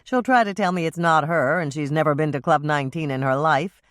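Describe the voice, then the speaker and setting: A woman's voice: low voice, fairly quick, no room sound, studio quality, natural voice. A woman reading a steely, wry woman sleuth. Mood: determined.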